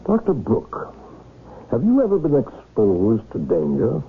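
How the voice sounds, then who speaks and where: A creaky formal gentleman quizzing someone, old radio play, lively pitch, hissy narrow sound. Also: full character voice. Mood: probing, assured. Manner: measured, formal, probing. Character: probing, formal gentleman sizing up a recruit. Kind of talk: old-time radio drama acting. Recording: noisy, band-limited old radio recording.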